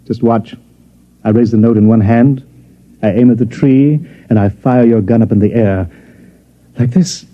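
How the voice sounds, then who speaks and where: A man's voice: medium pitch, fairly even intonation, measured pace, long pauses, a little hiss or hum, lightly acted. An actor playing a genial, talkative counterfeiter in a 1950s radio science fiction drama, with an urbane, showman's manner. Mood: confident showmanship. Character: genial, talkative male counterfeiter living among aliens. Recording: dull, muffled vintage radio recording.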